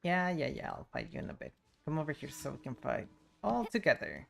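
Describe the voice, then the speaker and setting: A woman's voice: sits low, wildly swooping pitch, a little fast, gravelly, slight background noise. A woman livestreaming a video game, chatting casually and making short offhand remarks to herself as she plays. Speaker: streamer, VTuber. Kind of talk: casual gameplay livestream chat. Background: video game music and sounds.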